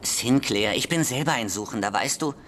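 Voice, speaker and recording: An actor playing a lonely, agitated schoolboy seeker in a radio drama, pleading urgently and earnestly. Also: male voice, mid-range voice, lively intonation, moderate pace, a bit bright, a little hiss or hum, lightly acted. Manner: urgent, hasty, pleading, confiding. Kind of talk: German literary radio drama.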